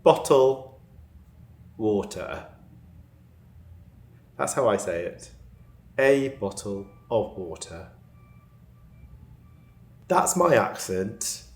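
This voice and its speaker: A man with a northern Cheshire accent chatting casually to camera in a vlog, laughing often and sounding out his T's crisply. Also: fairly low pitch, lively intonation, extremely slow, long pauses, darkish tone, roomy sound. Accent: northern Cheshire English. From England. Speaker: vlogger.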